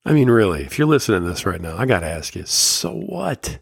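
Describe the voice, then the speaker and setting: A man's voice: fairly low pitch, very animated, brisk, gravelly, slightly rough, dry recording, studio quality. A man hosting a solo podcast, talking candidly and motivationally, his voice slightly scratchy from a summer cold. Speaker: podcast host.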